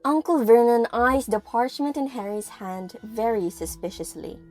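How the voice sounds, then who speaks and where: A woman's voice: on the high side, animated, normal speed, a little mellow. A woman reading scripted narration for an audio drama as its storyteller. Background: music.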